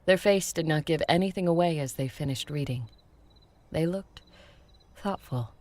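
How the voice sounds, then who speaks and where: A woman playing an ancient vampire who narrates in the first person, composed and measured, for an audio drama. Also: sits low, a little mellow, lightly acted. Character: ancient female vampire recounting events, composed.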